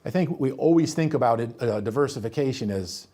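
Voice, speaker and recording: A man's voice: medium pitch, lively intonation, fairly quick, mellow. A man, a financial advisor, explaining retirement tax planning steadily and walking through number examples.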